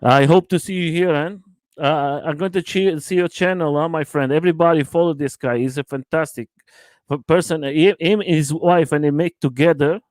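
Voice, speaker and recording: Hesitant man from Portugal, halting English. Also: fairly high pitch, steady tone, a little mellow, dry recording. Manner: halting, searching for words, many fillers. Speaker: guest. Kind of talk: casual live stream chat.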